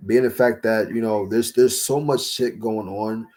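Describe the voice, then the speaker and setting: A young man hosting a casual livestream Q&A, rambling with lots of fillers and slang, his voice a little raspy from talking all day. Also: on the low side, steady tone, measured pace, darkish tone. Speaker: livestream host answering chat.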